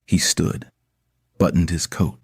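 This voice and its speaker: A man's voice: sits low, fairly even intonation, slow, gravelly, slightly rough. A man narrating a scripted audio story.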